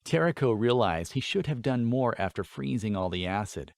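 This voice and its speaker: A man's voice: medium pitch, lively intonation, moderate pace, creaky, dry recording. Man narrating fantasy, close dry sound. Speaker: audiobook narrator. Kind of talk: scripted dramatic fantasy narration.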